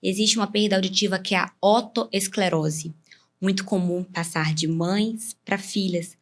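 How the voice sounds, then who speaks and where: A woman's voice: medium pitch, steady tone, unhurried. A woman ear, nose and throat doctor with a Brazilian Portuguese accent, explaining health matters step by step with simple comparisons as a podcast guest. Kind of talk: explanatory interview talk.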